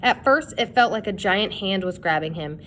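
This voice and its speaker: A woman's voice: expressive, moderate pace, a bit bright. A woman reading a children's chapter book aloud as a dramatic, suspenseful storyteller, with noise behind. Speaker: storybook reader. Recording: clear background noise with rumble.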